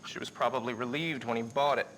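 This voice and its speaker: An actor playing a callous, gossiping morgue colleague in a video game, casual and flippant. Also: male voice, mid-range voice, steady tone, fast, a bit bright, slight background noise, natural voice, rough recording. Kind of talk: video game cutscene acting.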